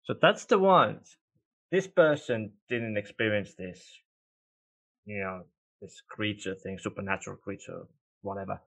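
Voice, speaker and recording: A man whose first language is Estonian, hosting a podcast in casual interview chat, relaxed and laughing easily. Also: fairly low pitch, unhurried, gravelly. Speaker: podcast host. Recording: dull lo-fi audio.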